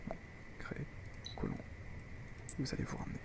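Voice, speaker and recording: A man's voice: normal speed, heavy background noise. A man with a French accent who has a cold, commenting casually on his strategy game as he plays and chatting with viewers. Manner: rambling, chatty, talks to viewers while playing. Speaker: gaming video host. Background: loud game music.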